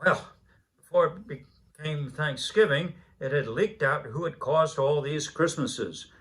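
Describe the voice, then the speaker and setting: Older man, higher-pitched voice, reading aloud. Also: unhurried, fairly bright tone. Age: 70s. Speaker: story reader. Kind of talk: reading a children's Christmas story aloud.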